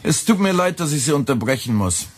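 A man playing a young radio editor in a radio play, formally polite, meticulous and quietly needling, with a husky voice. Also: a little high, big pitch swings, a little hiss or hum. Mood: falsely apologetic. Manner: formal, meticulous, deliberately enunciated.

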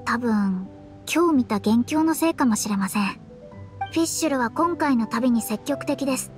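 A woman's voice: fairly high pitch, lively intonation, moderate pace, soft background music, close to an everyday voice. A woman voicing a proud young astrologer. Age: young adult. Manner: polite formal speech, self-assured, slightly lecturing. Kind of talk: game voice acting.

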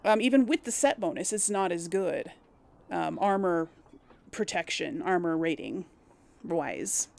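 Slow, creaky-voiced woman musing over a game. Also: fairly low pitch, animated, a little mellow. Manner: hesitant, musing, talks to herself. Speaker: gaming host. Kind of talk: casual let's play commentary, thinking aloud.

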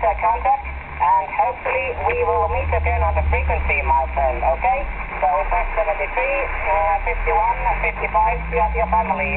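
A man's voice: high voice, vocal fry. A man heard over shortwave radio, making a contact and repeating his words and call signs. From Finland. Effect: shortwave radio reception. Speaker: radio operator. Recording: very noisy, crackly narrowband radio reception. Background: heavy radio static.

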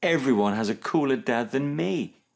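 A man's voice: medium pitch, expressive, moderate pace, vocal fry, voice pushed up. A man voicing a young boy crocodile in a children's story, wistful, hesitant and childlike. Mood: glum, plaintive. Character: young boy crocodile, wistful and unsure about his dad.

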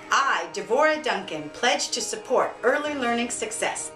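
A woman's voice: fairly high pitch, lively intonation, normal speed, bright, forceful tone. A middle-aged woman with an American accent, a school principal speaking in an interview. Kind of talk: interview testimonial. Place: small office, indoors. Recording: roomy, distant mic, music behind.

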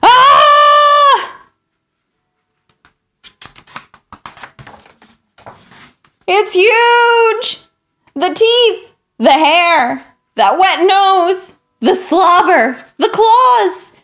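A woman voicing an excitable, pleading young pigeon in a children's picture book, dramatic, whiny and exclamatory. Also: very high voice, very slow, voice pushed up, exaggerated voice. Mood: panicked.

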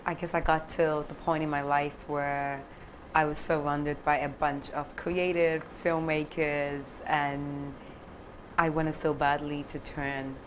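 A woman's voice: low-pitched, slow pace, a little hiss or hum. A young woman from Ghana, a filmmaker guest, talking easily in a studio interview and laughing often between thoughts. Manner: laughs often, animated with hand gestures. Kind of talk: relaxed interview talk. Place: studio set, seated on sofa.

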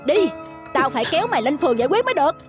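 A woman's voice: sits high, big pitch swings, very fast, over music. A woman voicing an angry, brash middle-aged female street vendor in a cartoon, scolding indignantly. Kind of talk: cartoon voice acting.

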